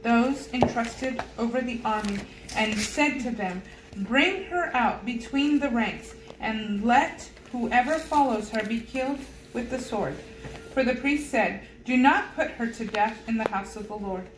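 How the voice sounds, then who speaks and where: A woman reading scripture aloud in a halting manner, stumbling over unfamiliar names. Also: a little high. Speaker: Bible reader. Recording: roomy echo with loud background noise.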